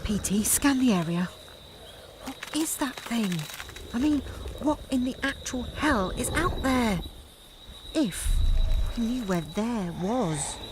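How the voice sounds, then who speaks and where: A brash, irritable space captain acted by a woman in an audio drama. Her voice is high and swings widely, with a slow, blunt, sarcastic delivery. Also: expressive, noisy background. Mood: irritated, bewildered. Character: brash, irritable female starship captain, adventuring heroine.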